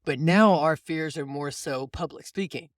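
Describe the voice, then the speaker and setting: Male podcast host and coach, slightly high voice, informal explainer, very dry sound. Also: moderate pace, dry recording. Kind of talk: casual conversational coaching.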